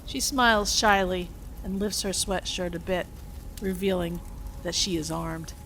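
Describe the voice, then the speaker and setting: A woman's voice: lowish voice, fairly even intonation, a little slow, gravelly, fairly bright tone, rough recording. Woman narrating a story, faint noise. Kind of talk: scripted narration of a story script.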